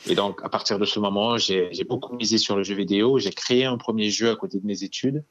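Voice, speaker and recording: A man from the Central African Republic who founded a game studio, calmly and earnestly telling his story in a radio interview. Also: fairly low pitch, fast talker, lo-fi sound.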